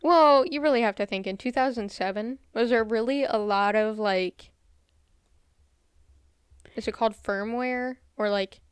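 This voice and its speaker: A woman's voice: on the high side, measured pace, long pauses, smooth, no room sound. A woman in her twenties co-hosting a casual podcast, playful and teasing, tossing in slang. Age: 20s. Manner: playful, teasing, says "bro" a lot. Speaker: podcast co-host. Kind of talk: casual chat.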